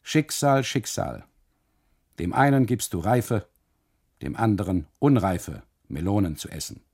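A man's voice: lowish voice, lively intonation, unhurried, gravelly, darkish tone, slightly rough, heavily acted. A man voicing a mocking, leisurely custodian in a literary radio monologue, speaking formally and declaiming without hurry. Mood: declamatory, mocking. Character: mocking, leisurely smoking male custodian, coolly expansive.

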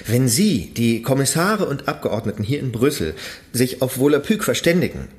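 An eager campaigner talks up his plan with persuasive enthusiasm, acted by a man. His voice sits mid-range and moves in a lively way. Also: mid-range voice, moderate pace, natural voice. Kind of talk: radio play acting.

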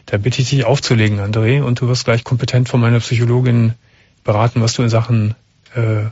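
A man hosting a late-night radio call-in show, calmly asking questions and giving advice. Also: medium pitch, fairly quick, husky, dry recording. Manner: calm, probing, interrupts to clarify. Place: radio studio. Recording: dull broadcast radio sound.

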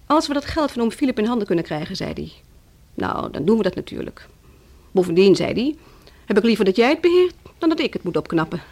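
An actress in a Dutch radio play playing a bitter, proud young wife who talks in a scornful, taunting way. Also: female voice, medium pitch, very animated, quick pace, darkish tone. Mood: scornful mockery.

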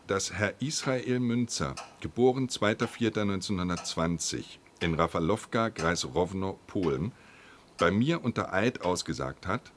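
A deep-voiced, methodical bureaucrat. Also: male voice, slight background noise. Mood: formal. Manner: formal, deliberate, bureaucratic. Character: dry, methodical man reading out official letters and certificates. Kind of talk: formal dictation of documents in a radio play.

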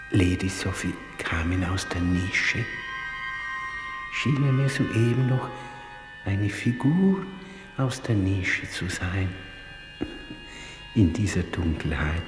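An older man playing a timid, humble old porter in a vintage German radio play, halting and deferential. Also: low voice. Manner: halting, deferential, breaking into whispers. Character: humble, timid old messenger, poor widower. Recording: echoey vintage radio play, long reverb. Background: music.

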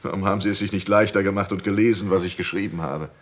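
An actor playing a cornered, defiant crime suspect in a vintage radio crime drama, curt, scornful and guarded. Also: male voice, low-pitched, steady tone, quick pace, lightly acted.

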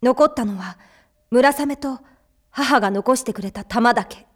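A woman voicing a defiant, hot-headed teenage boy hero in an anime drama, with brash, rough boyish speech. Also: on the high side, measured pace. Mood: solemn narrating. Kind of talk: anime voice acting.

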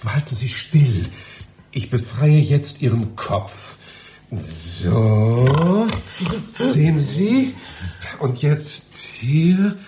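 An actor playing a polite, anxious, bumbling library visitor in a German radio play, with a hesitant, puzzled manner. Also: male voice, medium pitch, very animated, extremely slow, warm tone, slight background noise. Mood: alarmed, urgent. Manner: hesitant, puzzled, muttering to himself.

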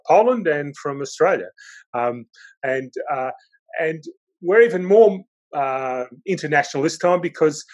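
Male podcast host, casual and rambling, lots of restarts. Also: mid-range voice. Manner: rambling, hesitant, many restarts. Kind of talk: casual interview.

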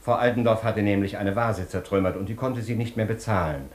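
A man plays an obsequious hotel porter in a radio play, sounding roomy and reverberant. His voice is fairly low. He talks deferentially, with insinuating, gossipy turns. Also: fairly quick, a little mellow, natural voice. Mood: confiding, insinuating. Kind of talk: German radio play acting.

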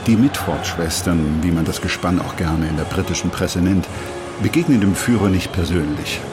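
A man's voice: low voice, moderate pace, noisy background. A man narrating a scripted biographical account as in a documentary drama.

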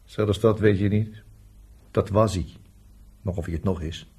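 A gruff, distrustful man in a radio drama. His voice sits low and is very husky. He talks in a sceptical grumble. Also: fairly even intonation, unhurried, darkish tone, full character voice. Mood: grumbling, suspicious. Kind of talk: Dutch radio play acting.

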